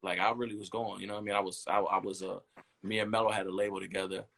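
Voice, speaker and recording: A man in his thirties, a brand designer, chatting in a relaxed interview and rambling easily with lots of "you know what I mean". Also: fairly low pitch, very fast, creaky. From United States. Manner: easygoing, rambling, leans on "you know what I'm saying".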